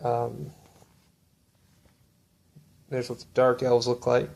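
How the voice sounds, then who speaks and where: Guy with a gravelly voice, rambling thru his book collection, lots of ums and uhs. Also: medium pitch, slow pace. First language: English. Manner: relaxed, rambling with many fillers. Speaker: collector showing books. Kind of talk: casual show-and-tell.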